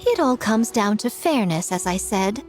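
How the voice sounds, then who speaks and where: An actress voices a poised, sly woman running a festival in an anime game. Her lively pitch swings as she teases playfully and takes her time. Music plays behind her. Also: normal speed, natural voice. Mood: playful, teasing. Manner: playful, leisurely teasing.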